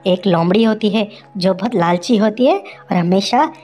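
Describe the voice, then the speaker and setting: A woman's voice: medium pitch, expressive, a little slow, a little mellow, soft background music. A woman narrating a children's moral story in a simple, conversational way.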